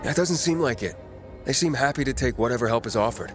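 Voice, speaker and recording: An actor playing a self-assured young wanderer, the hero of a video game, casual and confident. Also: male voice, mid-range voice, lively intonation, quick pace, natural voice. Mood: calm, defensive. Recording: music under the voice.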